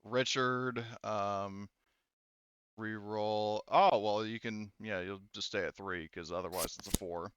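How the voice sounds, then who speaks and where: Low-voiced, smooth man running a game. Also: fairly even intonation, a bit bright, dry recording, lo-fi sound. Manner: halting, thinking aloud. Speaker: game master. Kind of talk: casual tabletop roleplaying game talk.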